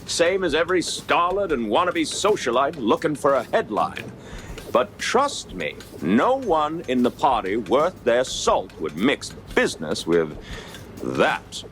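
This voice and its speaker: A self-important party insider from a noir cartoon game. He is brusque and defensive, with a middling pitch that swings expressively over faint music. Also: very animated, unhurried, a bit bright.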